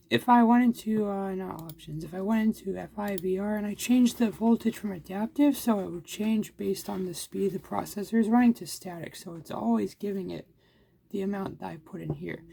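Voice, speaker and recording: A man's voice: high voice, creaky, dark tone, a little hiss or hum. A man casually narrating his own computer tinkering and gameplay, thinking aloud and often restarting his sentences. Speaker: hardware hobbyist vlogger. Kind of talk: casual self-narrated screen recording.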